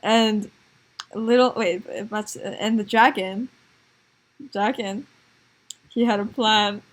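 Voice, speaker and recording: A woman improvising comic stories for a puppet show in a giggly, halting way. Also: medium pitch, fairly even intonation. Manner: giggly, halting, making it up as she goes.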